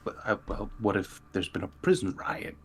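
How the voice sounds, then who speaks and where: A man's voice: on the low side, expressive, normal speed. A man playing a tabletop roleplaying game with casual talk, in and out of character. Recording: background noise, room ambience.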